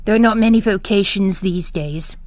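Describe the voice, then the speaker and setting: A woman voicing a bossy, gossipy older mother superior with a brisk, scornful and emphatic manner, in a story reading. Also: mid-range voice, darkish tone, dry recording, natural voice. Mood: chatty, amused.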